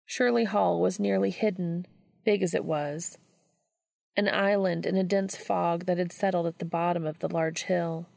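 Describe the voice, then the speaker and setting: Very creaky-voiced woman narrating fiction. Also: on the low side, steady tone, normal speed, a little mellow, no echo. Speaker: fan fiction audiobook reader. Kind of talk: scripted story narration.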